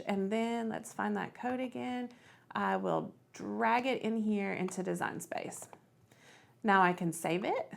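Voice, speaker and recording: Woman, slightly low voice with noticeable vocal fry, casual tutorial host who talks through each step and thinks aloud. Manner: thinks aloud while working.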